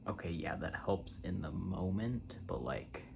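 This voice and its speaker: A man in his twenties rambling casually in a soft-spoken ASMR style, drifting between a breathy murmur and a half-whisper. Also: low voice, lively intonation, measured pace, noisy background. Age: 20s. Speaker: ASMR creator.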